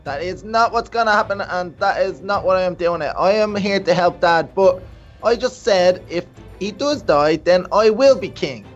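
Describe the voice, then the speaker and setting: A man's voice: high-pitched, moderate pace, close to an everyday voice. A man playing a cocky but wimpy, defensive young prince in a children's game roleplay. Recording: dull lo-fi mic, faint music.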